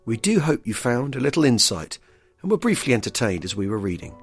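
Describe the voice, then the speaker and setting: Genial male host with a lively voice. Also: mid-range voice, fairly quick, faint music behind. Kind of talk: scripted narration.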